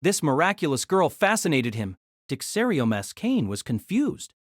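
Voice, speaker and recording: A man's voice: fairly high pitch, very animated, moderate pace, dry recording, clean studio sound. A man giving a dramatic scripted narration of an action fantasy story.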